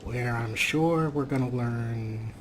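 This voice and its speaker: Slow-paced man doing wry gameplay commentary. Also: medium pitch, noisy background. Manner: wry, unhurried, talks to himself. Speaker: let's play host.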